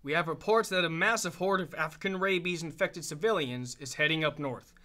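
A man's voice: on the high side, a little fast, pushed and bright. A man playing a confident, blustering American military commander in a radio drama, emphatic and assured.

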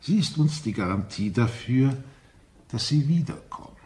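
An actor playing a formal, shrewd council spokesman in a sci-fi audio drama, speaking deliberately. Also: medium pitch, animated, warm tone, slight background noise, lightly acted. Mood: formal, insistent.

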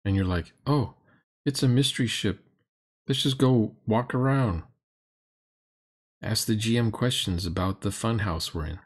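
A man's voice: lowish voice, a little slow, pauses often, slightly rough. A middle-aged man giving blunt, sarcastic, exasperated commentary in reaction to a roleplaying game. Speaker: critic reacting to a tabletop roleplaying video.

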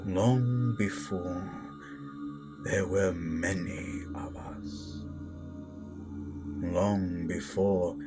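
A stern, menacing Sith master, acted by a man, speaks in a low voice and very slowly, with grandiose weighted pauses. It is a dramatic audio roleplay, with music clearly playing underneath. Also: fairly even intonation. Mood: grave, instructive. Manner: deliberate, grandiose, pausing for weight. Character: stern, menacing male Sith master teaching his apprentice. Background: loud background music.

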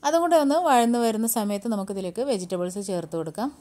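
A woman hosting a home cooking channel, giving brisk step-by-step recipe instructions in a voice-over. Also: medium pitch, lively intonation, mellow. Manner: brisk, step-by-step instructing, Malayalam mixed with English words.

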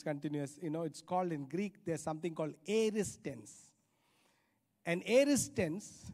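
A middle-aged male preacher delivers a sermon in a high-pitched voice. He is emphatic, repeating key phrases and checking in with short rhetorical questions. He speaks into a handheld microphone on an auditorium stage. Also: warm tone. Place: large church auditorium stage, indoors.

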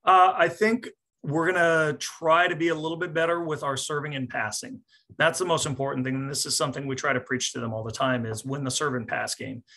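A man in his forties with a bright, forward voice at a middling pitch. He talks like a coach after a match, explaining steadily and in measured phrases. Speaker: volleyball coach. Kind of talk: post-match press conference answers. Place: small indoor office, on a video call.

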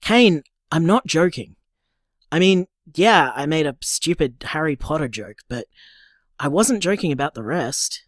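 A woman's voice: deep voice, animated, unhurried, dry recording, clean studio sound. A woman with an Australian accent playing an upbeat, teasing young partner in a naturalistic audio drama, breezy and chatty. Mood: earnest. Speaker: podcast drama actor.

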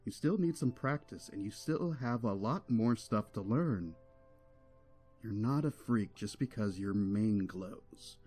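A man plays a gentle cartoon pony king, a protective father with a fairly low voice. He speaks patiently and reassuringly over music. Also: animated, a little slow, husky.

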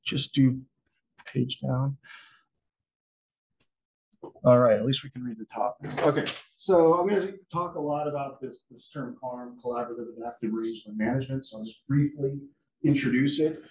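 A male scientist giving a seminar talk in a casual, halting way with many fillers and restarts. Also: medium pitch, creaky, darkish tone, a little hiss or hum. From United States. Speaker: guest speaker, rangeland scientist. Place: lecture room. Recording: echoey room audio from a distance, dull.

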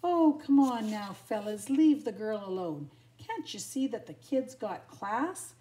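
A woman's voice: medium pitch, very animated, unhurried, dark tone. Woman voicing a brassy, sassy stray lady dog. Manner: brassy, familiar. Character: sassy, kind, streetwise female stray dog.

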